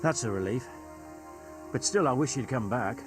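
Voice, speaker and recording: A man's voice: mid-range voice, animated, normal speed, over music, lightly acted. An actor playing a timid, worried middle-aged merchant father in a medieval video game. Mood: anxious, hopeful. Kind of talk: naturalistic video game acting.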